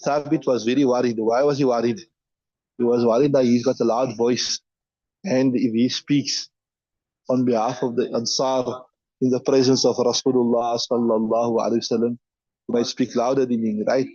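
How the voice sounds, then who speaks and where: A man's voice: mid-range voice, little pitch movement, normal speed, mellow, no echo, lo-fi sound. A man teaching a hadith class, reading each line aloud and then explaining it step by step.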